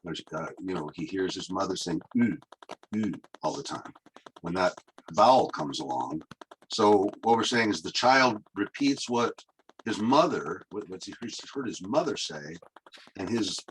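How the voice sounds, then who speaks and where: A man hosting an interview, curious and halting as he works through his thoughts with many pauses and fillers. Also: low-pitched, animated, a little hiss or hum, low-quality recording. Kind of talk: conversational interview.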